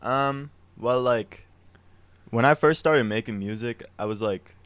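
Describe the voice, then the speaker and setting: A man's voice: medium pitch, measured pace, a bit bright, clear, resonant tone. A young man, a musician, chatting casually in an interview with a laid-back, slangy way of talking. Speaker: musician guest.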